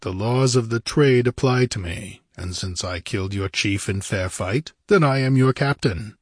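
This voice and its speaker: A man playing a stern, fierce barbarian warrior in a fantasy audiobook, gruff, imperious and challenging. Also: fairly low pitch, normal speed, no echo, clean studio sound, lightly acted. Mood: commanding. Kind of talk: dramatic fantasy audiobook character.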